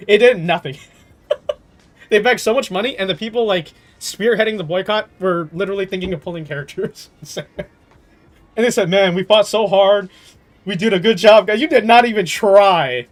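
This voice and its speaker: Rambling, slangy man with a higher-pitched voice. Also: normal speed. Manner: rambling, slangy, swearing, amused and incredulous. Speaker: livestreamer playing a video game. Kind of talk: casual opinionated chat commentary over gameplay.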